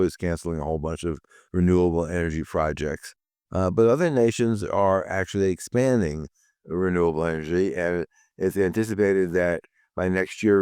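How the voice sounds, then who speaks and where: Male host, deep and smooth but a little rough today, dry recording, blunt news commentary with fillers. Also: darkish tone, no room sound. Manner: dry, blunt asides with frequent ums and uhs. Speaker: host of a weekly security news update.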